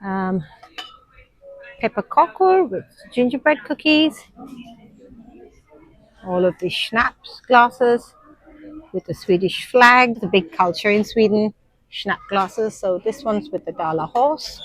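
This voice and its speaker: A woman's voice: mid-range voice, expressive, slow, long pauses, no room sound, low-quality recording. A woman in her thirties from Sri Lanka, a nursery teacher, vlogging casually from an airport and explaining things with frequent ums. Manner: chatty, explanatory, frequent um fillers. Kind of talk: casual vlog narration to camera. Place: busy airport shops and seating area.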